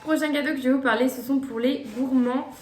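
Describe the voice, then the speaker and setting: A woman's voice: high-pitched, fairly even intonation, fast talker, over music. A young woman vlogging gift ideas in casual chat to the camera, hesitant, with many fillers and restarts. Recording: echoey room.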